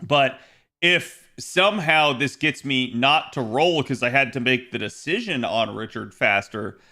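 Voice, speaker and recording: A man chatting casually with his live-stream viewers. His voice is a little hoarse and raspy, and he rambles, correcting himself often between fillers. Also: mid-range voice, a little slow, pushed and bright. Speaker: game streamer. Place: home.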